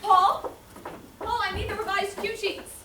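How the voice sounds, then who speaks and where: A woman's voice: very high-pitched, fairly even intonation, measured pace. A woman playing a bossy, impatient radio sound director in a stage drama. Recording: echoey distant stage, clear noise.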